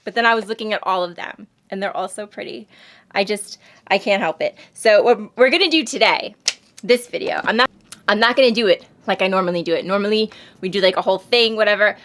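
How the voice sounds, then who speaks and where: A woman's voice: mid-range voice, brisk, fairly bright tone. Chatty sniffly Long Island woman. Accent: Long Island New York. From United States. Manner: rambling, self-deprecating, chatty. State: sniffly from allergies. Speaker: beauty vlogger. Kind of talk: casual makeup review chat.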